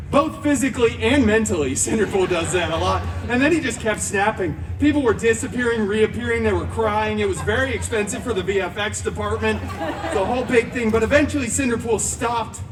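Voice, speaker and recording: A man's voice: sits high, moderate pace, a crowd in the background, noisy background, natural voice. A man playing a wisecracking, flamboyant antihero who narrates a parody fairy tale in a live stage show, with sarcastic asides. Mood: playful. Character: cheeky, theatrical, wisecracking male antihero storyteller. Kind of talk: comic children's story-time parody, stage narration. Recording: distant theme park show, music behind.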